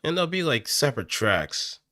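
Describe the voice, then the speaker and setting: A man talking casually and off the cuff as he explains voice acting, thinking aloud in an animated, demonstrative way. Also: a little high, normal speed, no room sound, studio quality. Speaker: amateur voice actor. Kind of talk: casual explanatory talk, improvised voice acting tutorial. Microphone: close studio microphone through audio interface.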